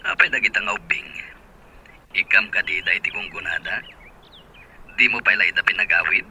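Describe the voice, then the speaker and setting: An actor plays an agreeable, questioning friend in an Ilocano radio drama. His voice is piercingly bright and forceful. Slight noise is heard behind him. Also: fairly low pitch, fairly even intonation, a little fast. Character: obliging male friend of a woman, on call.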